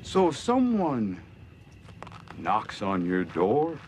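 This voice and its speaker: An actor with an American accent playing a smug, cruel middle-aged gang leader in a TV drama, drawling and mocking with deliberate pauses. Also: male voice, fairly low pitch, huge pitch swings, a little mellow, exaggerated voice. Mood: calm menace. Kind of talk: television drama villain monologue. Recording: TV drama clip with noticeable background noise.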